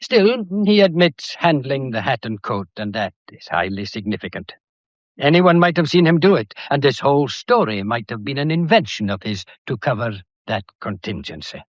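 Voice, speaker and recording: An actor playing a methodical, courteous but probing police inspector in a detective drama, with a deliberate, pedantic and wryly theatrical way of talking. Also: male voice, medium pitch, sing-song, dry recording, dull audio. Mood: probing, satisfied. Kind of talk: detective radio drama acting.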